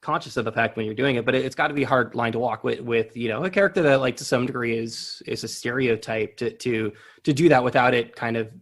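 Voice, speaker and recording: A middle-aged man hosting a casual interview, with halting, tentative, roundabout phrasing. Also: medium pitch. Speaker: podcast host, interviewer.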